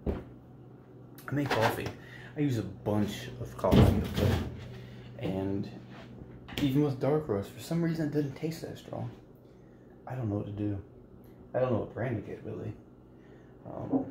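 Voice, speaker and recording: Man in his late twenties, mellow rambling voice. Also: lowish voice, creaky, roomy sound, slight background noise. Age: late 20s. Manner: meandering, many fillers and restarts. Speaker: art vlogger. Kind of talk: casual rambling vlog; voice-over while drawing. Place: small apartment kitchen, indoors. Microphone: handheld camera at arm's length.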